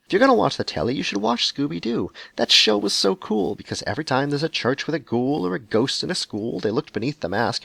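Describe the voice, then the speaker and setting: Man reciting sardonic beat poetry, animated pitch. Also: creaky, no room sound. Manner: sardonic, emphatic, sweary storytelling in rhyme. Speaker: podcast host.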